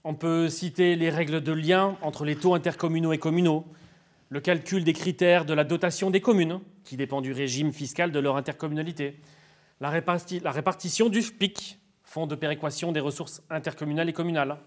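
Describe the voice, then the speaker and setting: A male expert with a moderately high-pitched voice. He explains in a formal, teacherly way, with orderly sentences that sound prepared. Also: steady tone, brisk. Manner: formal, didactic. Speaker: expert interviewee on intercommunal finance. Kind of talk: structured, prepared explanation in an interview.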